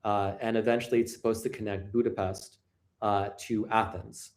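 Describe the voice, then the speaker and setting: Canadian male guest, low-pitched, measured, hedging delivery. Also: little pitch movement, a little mellow. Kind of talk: interview answers.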